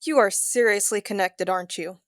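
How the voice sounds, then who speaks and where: A woman's voice: medium pitch, fairly quick, no echo, clean studio sound, close to an everyday voice. A woman playing a casual, teasing young space adventurer in an audio drama, informal and inquisitive in her delivery. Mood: curious, teasing.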